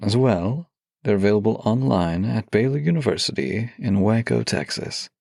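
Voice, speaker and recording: A man's voice: fairly low pitch, expressive, moderate pace, vocal fry, darkish tone, dry recording, studio quality. A man who works as a voice actor, hosting a scripted, intimate audio series with a theatrical, playful and flirtatious manner. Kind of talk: scripted, intimate introduction to listeners.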